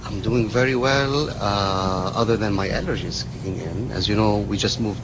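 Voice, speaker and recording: A man with a lowish voice chats as a guest on live radio. His delivery is halting and hesitant, with clear noise behind him. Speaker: radio show guest and media producer. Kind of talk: casual live radio conversation. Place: live on location radio broadcast.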